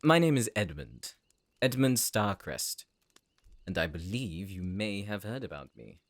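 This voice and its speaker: A man voicing a smooth, quietly menacing city stranger with a suave, teasing manner. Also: fairly low pitch, sing-song, a little slow, dry recording. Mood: smooth, probing. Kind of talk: audio roleplay acting.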